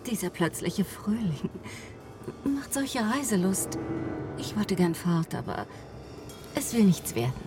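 An actress playing a wistful, hesitant cultured lady in a literary radio drama, with a musing, halting manner. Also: medium pitch, very animated, over music.

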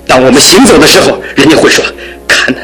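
An actor in a radio drama playing a despairing young man crippled in one leg, his talk swinging from hushed to anguished outbursts. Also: mid-range voice, expressive, fast talker, breathy edge, faint music behind, heavily acted. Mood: bitter. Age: young adult. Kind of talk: broadcast radio drama acting.

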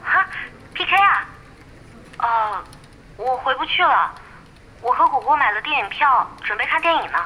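Bright, high-voiced phone caller. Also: female voice, lively intonation, normal speed, pauses often. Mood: apologetic. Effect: telephone filter. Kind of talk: radio drama phone dialogue. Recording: thin phone line, faint noise.

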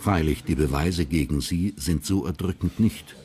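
A man with a husky voice narrating a radio drama in a sober, matter-of-fact way. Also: very deep voice, moderate pace, mellow.

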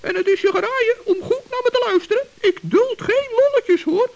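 A woman's voice: very high voice, normal speed, a little mellow, highs cut off. A woman voicing a cheerful, brave male forest gnome in an old children's radio play, chatty and earnest. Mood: chirpy.

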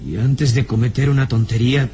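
An actor playing a submissive, jealous, lovesick man, speaking haltingly and with anguish in an old radio serial. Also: medium pitch, fairly even intonation, warm tone, close to an everyday voice. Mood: submissive, fearful. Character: cowering, obsessively jealous servile man, lovesick suitor. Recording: vintage radio serial with music behind.

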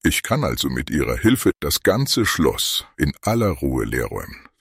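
A man's voice: sing-song, moderate pace, fairly bright tone, no room sound, clean studio sound, full character voice. A man playing a smug, scheming burglar in a comedy radio play, pompous and polite, speaking as if on the phone. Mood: smug, conspiratorial. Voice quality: gravelly, very deep. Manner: pompous, polite, self-satisfied. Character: smug, scheming male burglar plotting on the telephone.

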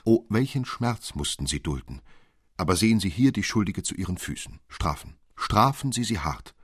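A man's voice: low-pitched, animated, moderate pace, breathy edge, no echo, clean studio sound. A man voicing a distraught, pleading queen in a storybook tale, lamenting with melodrama. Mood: tearful pleading.

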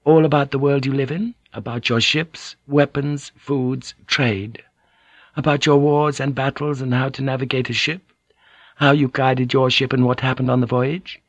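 Polite precise noblewoman, a guy narrator doing her, formal and measured, pitched up, dry. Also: fairly even intonation, no room sound, natural voice. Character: poised, formal Japanese noblewoman interpreter.

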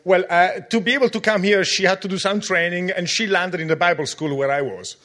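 A middle-aged man from Southern Italy preaching in English with an Italian accent, pausing in short phrases for an interpreter and adding humorous asides. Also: high-pitched, pushed and bright. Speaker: guest preacher. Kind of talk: sermon with live interpreter.

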